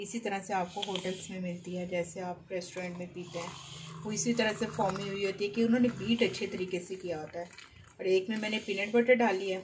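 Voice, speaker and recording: A woman explains a recipe at her kitchen counter, chatting and repeating each step patiently. Her pitch sits in an ordinary middle range. A faint hiss of background noise runs under her voice. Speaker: cooking channel host. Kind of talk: home cooking tutorial narration.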